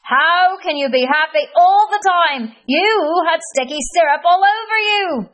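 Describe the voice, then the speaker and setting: A woman voicing a grumpy, exasperated male character who shouts and sputters. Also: very high voice, animated, unhurried, voice pushed up, heavily acted.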